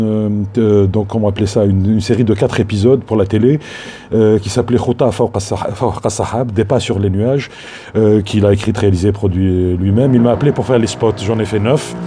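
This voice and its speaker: A Tunisian man in his fifties, a filmmaker recording a spoken tribute on his phone, halting and full of fillers and restarts. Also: lowish voice, dark tone. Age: 50s. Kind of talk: spoken tribute to a late mentor.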